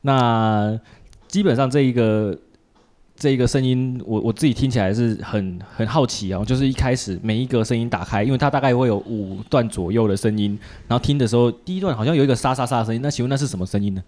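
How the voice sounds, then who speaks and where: A man's voice: medium pitch, fast, darkish tone. A man with a Taiwanese Mandarin accent hosting a casual interview, reacting with interjections and repeated words. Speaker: podcast host.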